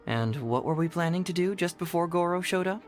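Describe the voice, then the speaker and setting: An actor playing a confident, playful young male detective in a video game, with a smooth, self-assured delivery. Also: sits high, animated, brisk. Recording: music behind.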